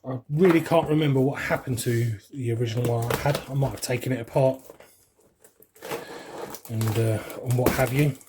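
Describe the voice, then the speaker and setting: A man's voice: mid-range voice, fairly even intonation, normal speed, background noise. A man in his forties with a London English accent, chatting casually and reminiscing in an informal, rambling way as a hobbyist vlogger. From West London, England. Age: 40s. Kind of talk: casual reminiscing chat while unboxing.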